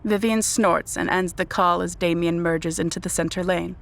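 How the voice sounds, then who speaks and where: A woman narrating a dark vampire audio drama in a composed, scripted storytelling style. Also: lowish voice, steady tone, moderate pace, gravelly. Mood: descriptive.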